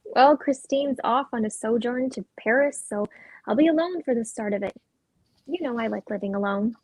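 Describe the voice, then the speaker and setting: A woman's voice: a little high, normal speed, darkish tone, poor audio. A woman acting a restless, searching female character who longs for change, in a dramatic script reading. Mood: reflective.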